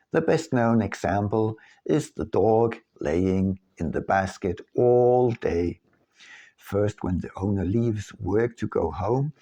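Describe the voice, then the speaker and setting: A man reading his own non-fiction book aloud as scripted narration, in a measured, deliberate manner with pauses. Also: on the low side, vocal fry, mellow, slightly rough.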